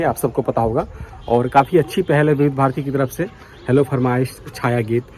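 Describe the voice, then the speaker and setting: A man's voice: mid-range voice, mellow. An Indian man talking casually and earnestly to his viewers as a vlogger, mixing in English words. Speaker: vlogger, radio enthusiast. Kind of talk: casual vlog talk to viewers. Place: outdoors on a foggy morning.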